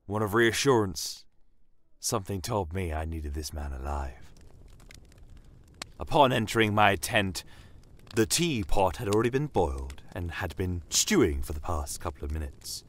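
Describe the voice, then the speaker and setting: A man's voice: lowish voice, animated, normal speed, a bit bright. A man reading scripted first-person dramatic narration for a fantasy story podcast.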